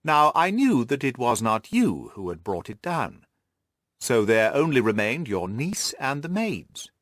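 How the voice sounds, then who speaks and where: A man reading the part of a shrewd, self-assured gentleman detective, precise and methodical, in an audiobook. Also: medium pitch, sing-song, unhurried, dry recording, clean studio sound. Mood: reasoning, confident.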